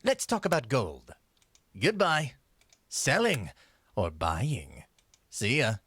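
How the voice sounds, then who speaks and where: Male actor as game merchant, raspy edge, lively intonation, short trading lines. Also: mid-range voice, slow pace, dry recording, exaggerated voice. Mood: courteous, businesslike. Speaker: game vendor voice clip.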